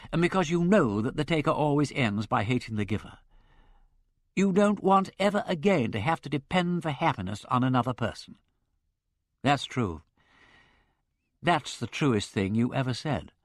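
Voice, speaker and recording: A man voicing a witty gentleman in love, speaking in emphatic, impassioned outbursts. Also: a little high, no room sound, studio quality, pitched above the performer's own voice. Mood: earnest.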